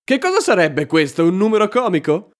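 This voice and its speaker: Woman playing a baffled, lowish-voiced opponent. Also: sing-song, a little fast. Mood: incredulous. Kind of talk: Italian fan-dub cartoon acting. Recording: music under the voice.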